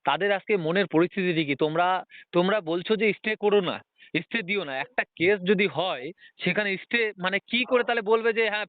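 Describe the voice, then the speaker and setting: Young male caller, rapid, insistent, repetitive; thin phone-line audio. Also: high-pitched. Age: young adult. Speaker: job candidate calling on the phone. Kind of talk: argumentative phone conversation. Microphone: phone call. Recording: tinny, band-limited phone line.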